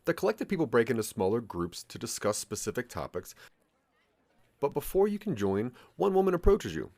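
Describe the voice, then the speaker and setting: A man narrating an interactive audio drama in the second person, with expressive storytelling. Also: mid-range voice, normal speed, no echo.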